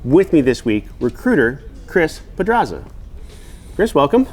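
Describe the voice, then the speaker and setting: A man hosting a short company interview segment, asking questions in an upbeat, animated way. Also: fairly high pitch, measured pace, soft background music. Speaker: host of company interview series.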